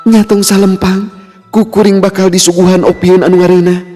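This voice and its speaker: Casting: man as obliging noble maiden in a storybook tale, pitched well up, music behind. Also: flat delivery, normal speed, mellow, close to an everyday voice. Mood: reassuring. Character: gracious young noblewoman, seventeen, assured and obliging. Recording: long reverb, music bed.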